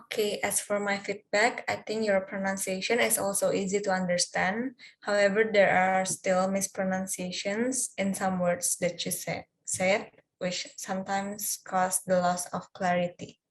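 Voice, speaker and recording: Woman, 20s, mock speaking test, measured answers. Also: mid-range voice, flat delivery, slight background noise, low-quality recording. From Indonesia. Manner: measured answers with occasional fillers. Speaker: test candidate, peer examiner.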